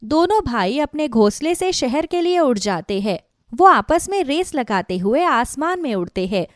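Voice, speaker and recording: A woman's voice: high voice, very animated, moderate pace, no echo, clean studio sound. A woman narrating a scripted children's cartoon story.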